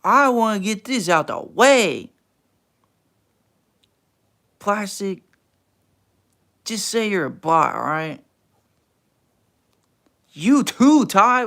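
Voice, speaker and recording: Slow, gravelly young man chatting on stream. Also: high-pitched, fairly bright tone. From Texas. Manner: laid-back, slangy, answers chat with teasing. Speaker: livestream host reading chat. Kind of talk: casual livestream chat.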